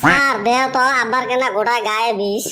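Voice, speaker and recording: A woman's voice: a little high, steady tone, fast talker, pushed and bright. A woman voicing a cheeky, scolding young village son in comic cartoon dialogue, with a western Assam Goalpara accent. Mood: nagging, cheeky.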